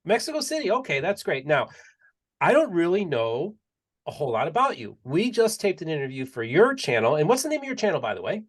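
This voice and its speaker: A man's voice: fairly high pitch, very animated, fairly quick. A male podcast host chatting casually and enthusiastically, often repeating and restarting his words. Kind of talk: casual interview chat.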